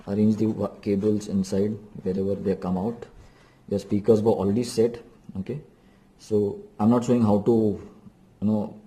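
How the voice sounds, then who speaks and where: Dark, mellow-voiced man explaining. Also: lowish voice. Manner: explains step by step, repeats instructions for emphasis. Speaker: DIY vlogger. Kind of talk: unscripted hands-on tutorial narration.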